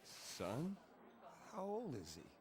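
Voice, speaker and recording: A man's voice: a little high, huge pitch swings, slow, a crowd in the background, exaggerated voice. A man voicing a nervous, bewildered young man in a video game cutscene, stammering and hesitant. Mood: baffled. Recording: music under the voice.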